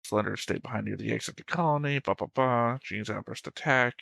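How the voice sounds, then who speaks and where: A man's voice: mid-range voice, brisk, vocal fry, a bit bright, no echo. A man in a casual fan discussion as a show co-host, talking in a pedantic, insistent way.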